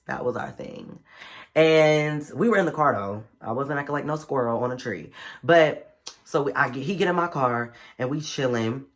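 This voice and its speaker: A young man with a cold tells a story straight to his viewers. His voice is stuffy and congested. He talks in a lively, slangy, playful way. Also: on the high side. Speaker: vlogger. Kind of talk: casual storytime vlog.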